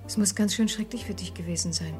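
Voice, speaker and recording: An actress in a radio crime drama playing a perceptive, concerned wife who speaks in a soft, searching manner. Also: female voice, medium pitch, fast talker, over music, close to an everyday voice. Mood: concerned, probing.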